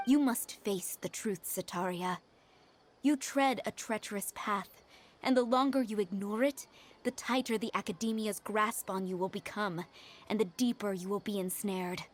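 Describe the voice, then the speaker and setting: A woman's voice: mid-range voice, moderate pace, lightly acted. Actress as a composed, solemn mercenary guard woman. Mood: ominous. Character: composed guard woman turning ominous cultist preacher. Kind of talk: anime video game voice acting.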